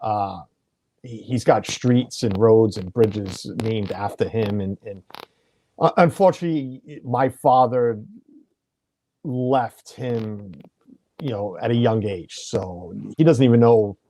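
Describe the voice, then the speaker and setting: A man's voice: fairly low pitch, slow pace, mellow, a little hiss or hum, low-quality recording. A middle-aged American man hosting a casual video chat, talking in a rambling way and often correcting himself mid-sentence. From United States. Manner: chatty, rambling, self-correcting. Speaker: podcast host.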